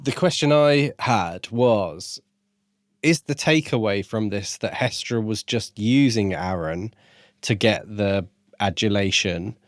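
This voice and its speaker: Lower-pitched man, close dry sound. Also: takes it slowly, dry recording. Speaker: podcast co-host. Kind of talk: casual book discussion.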